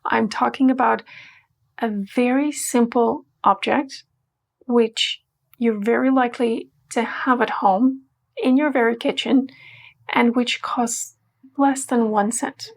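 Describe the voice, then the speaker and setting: A woman from Portugal talks through a scripted language lesson. Her voice sits a little high, with a gravelly, fry-tinged edge. She teaches in a playful, emphatic way and slows down to spell out words. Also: steady tone.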